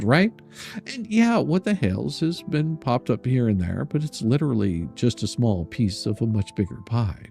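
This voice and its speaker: A man's voice: mid-range voice, vocal fry, dark tone. An older man hosting a stream, reading a letter aloud in a sarcastic, mocking and theatrical way. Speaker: streamer and host.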